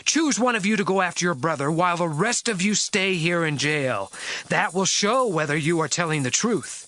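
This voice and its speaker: A man's voice: sits high, lively intonation, moderate pace, bright, forceful tone. A man playing a stern, suspicious governor of ancient Egypt in an audio Bible drama, speaking harshly and with authority. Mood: harsh, accusing. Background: music.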